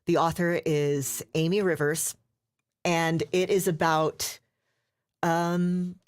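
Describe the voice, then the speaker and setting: A woman's voice: deep voice, flat delivery, slow, clean tone, dry recording, studio quality. A woman audiobook narrator chatting thoughtfully in a casual panel, with small hesitations.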